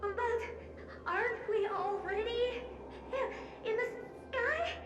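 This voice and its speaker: A woman's voice: extremely high pitch, slow pace, lo-fi sound, heavy background noise. A woman voicing a timid, polite talking mirror in a video game, eager, deferential, stammering and easily startled, with a reverberant effect. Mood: shivering, whiny. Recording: echoing, distant-sounding game audio over music.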